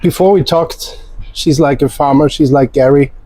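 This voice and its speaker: A male interviewer speaks deliberately and without hurry, his voice mellow and warm. The recording is dry and close. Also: fairly high pitch, no echo. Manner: deliberate, unhurried, fond of farming imagery. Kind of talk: interview questions.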